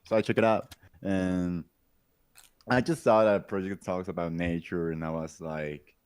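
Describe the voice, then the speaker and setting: A man composer with a very low voice, chatting casually on a podcast call, laughing often and pausing on fillers. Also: fairly even intonation, a little mellow, smooth, dry recording. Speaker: composer on the team.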